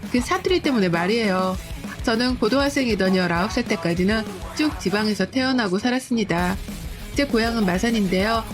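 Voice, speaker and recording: A woman narrating a listener's story in the first person, in a smooth, even read-aloud delivery. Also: medium pitch. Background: soft background music.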